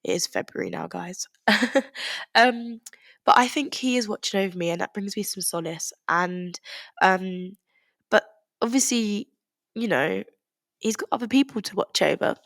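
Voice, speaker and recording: A woman's voice: mid-range voice, a little slow, gravelly, a bit bright, no room sound. A young woman, a bit congested and bunged up, talking candidly and rambling on her own podcast. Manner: candid, rambling, with many likes and restarts. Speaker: podcast host. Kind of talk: personal podcast monologue.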